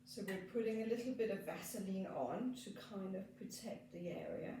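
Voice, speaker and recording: A woman with a Danish accent, a skin therapist gently explaining a treatment as she works, with frequent fillers. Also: medium pitch, normal speed, distant mic, a little hiss or hum. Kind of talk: treatment demonstration. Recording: echoey room, off mic.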